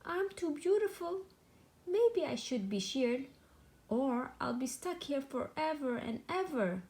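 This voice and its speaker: A woman's voice: high-pitched, very animated, slow, mellow, voice pushed up, heavily acted. A woman voicing a vain, sneaky male sheep in a storybook, with a self-admiring, sing-song delivery. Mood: fearful. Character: vain, sneaky male sheep proud of his fluffy wool.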